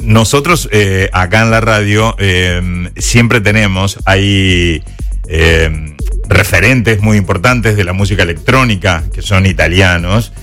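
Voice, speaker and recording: Argentine radio host, lowish voice, laid back, faint music behind. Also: male voice, a little slow, fairly bright tone. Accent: Rioplatense Spanish. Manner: easygoing, frequent short acknowledgments. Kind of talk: radio interview.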